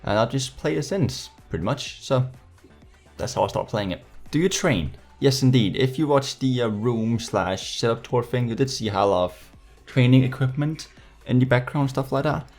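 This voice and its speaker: A young man of eighteen with a Danish accent talks to his viewers in a loose, slangy way. His voice sits at a middling pitch, with some playful sarcasm in the delivery. Light music plays behind him. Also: expressive, normal speed, darkish tone. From Denmark. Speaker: YouTuber hosting a Q&A. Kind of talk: casual chat to viewers.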